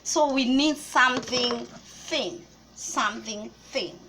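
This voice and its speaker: A woman's voice: a little high, slow, sharp tone, slight background noise, rough recording. Kenyan woman chatting through a recipe. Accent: Kenyan English. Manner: chatty, explains step by step with small restarts. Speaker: cooking vlogger. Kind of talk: casual cooking tutorial.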